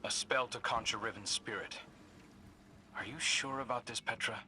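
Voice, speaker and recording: A man playing a brooding, quietly determined warrior in a video game, with a wry, terse delivery. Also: mid-range voice, moderate pace, a little hiss or hum. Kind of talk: scripted video game dialogue. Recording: loud music behind. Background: loud game music.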